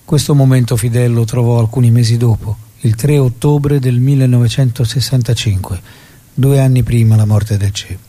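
A man's voice: medium pitch, fairly even intonation, normal speed, dark tone. A man giving scripted dramatic narration as a narrator.

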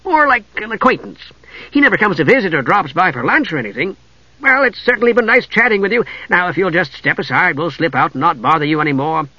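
Guy doing a chirpy little kender, fearless storybook chatterbox, high bright voice, bit muffled. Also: expressive, quick pace, husky, no echo, exaggerated voice. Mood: chatty, polite. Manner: eager, chirpy, rambling.

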